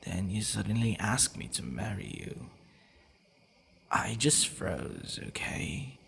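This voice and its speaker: A man playing a possessive, teasing, devoted vampire boyfriend in an intimate ASMR roleplay, speaking in a hushed, breathy way with hesitant pauses. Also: lowish voice, sing-song, exaggerated voice. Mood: tender, flustered.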